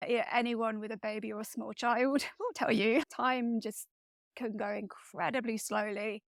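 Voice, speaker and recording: A woman's voice: a little high, steady tone, moderate pace, no room sound, clean studio sound. A British woman around forty, an author chatting casually as she is interviewed. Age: late 30s to 40s. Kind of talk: casual conversational chat.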